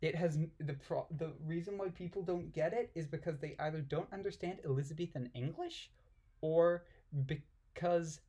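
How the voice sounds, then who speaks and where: Higher-voiced man, irreverent casual reader. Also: normal speed, warm tone. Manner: irreverent, giggly, often puzzled asides. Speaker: amateur reader and commentator. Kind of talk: casual commentary while reading aloud.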